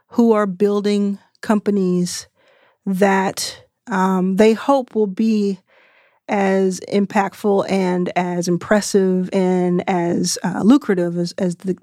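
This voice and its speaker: Woman in her thirties, measured and explanatory. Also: medium pitch, mellow, no echo, studio quality. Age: 30s. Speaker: venture capital investor. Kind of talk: conversational interview answers.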